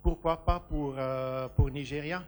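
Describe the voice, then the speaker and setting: A man's voice: fairly high pitch, steady tone, measured pace, faint music behind. A man from Belgium speaking on a business panel about a port, hesitant with many fillers and restarts. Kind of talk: panel discussion.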